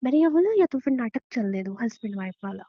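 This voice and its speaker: A rather high-pitched young wife, played by a woman, argues stubbornly in a relationship audio drama. She is defiant and demanding, with a taunting edge. The sound is close and dry, a little dulled. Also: animated, fast, dry recording, dull audio. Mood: cold, defiant. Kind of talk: acted Hindi relationship audio drama, conversational.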